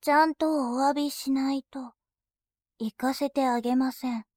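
A woman voicing a composed female bank clerk who speaks in very formal, deliberate language and turns dominating and scornful. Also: sits high, steady tone, darkish tone, dry recording, studio quality. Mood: polite teasing. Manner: very formal polite speech, slow and deliberate. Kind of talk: adult audio drama acting.

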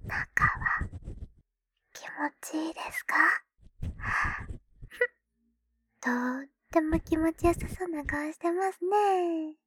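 A woman voicing a teasing, doting schoolgirl little sister in a whispered ASMR roleplay, breathy and playful. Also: very high voice, steady tone, heavily acted. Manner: teasing, playful, slow and soft. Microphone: close microphone.

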